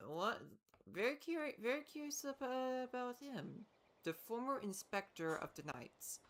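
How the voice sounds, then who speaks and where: A woman's voice: a little high, animated, unhurried. A woman livestreaming a game with casual commentary, musing aloud.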